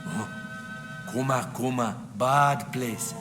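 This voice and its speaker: Slow blunt tribesman for a game, pidgin english, superstitious, loud music under him. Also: medium pitch. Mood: grave, ominous. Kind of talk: video game cutscene acting. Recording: long reverb, loud music behind.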